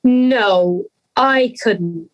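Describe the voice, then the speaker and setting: A woman's voice: mid-range voice, extremely slow, lo-fi sound, soft background music. A young woman playing an anxious young schoolgirl in a fairy-tale audio drama, hesitant and pleading. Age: young adult.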